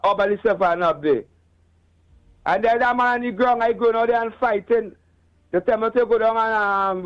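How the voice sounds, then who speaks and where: High-voiced Trinidadian caller on a dull line. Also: male voice. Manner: halting, repeats words, aggrieved. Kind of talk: call-in complaint.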